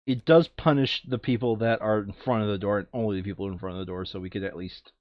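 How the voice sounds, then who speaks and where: A man's voice: lowish voice, steady tone, fast talker, no echo, highs cut off. A man playing a board game casually, reading out rules and thinking aloud as he narrates the moves. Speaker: board game player on a playthrough show. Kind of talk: casual tabletop game play commentary.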